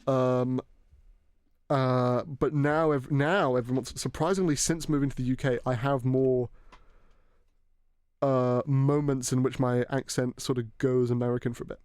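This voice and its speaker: A young man with a British accent chats casually as podcast host, rambling from one thought to the next and dropping the odd swear word. His voice sits at an ordinary mid pitch. The sound is dry and close. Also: fairly even intonation, darkish tone, clear, resonant tone, dry recording. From Hong Kong. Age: young adult. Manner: rambling, swearing, quick laughs. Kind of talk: casual chat.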